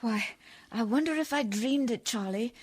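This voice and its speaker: Female role, acted: genteel, anxious, devoted lady traveller, tender and proper, highish husky voice, radio drama. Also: normal speed, dry recording, studio quality. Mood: dazed, wondering. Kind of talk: radio play acting.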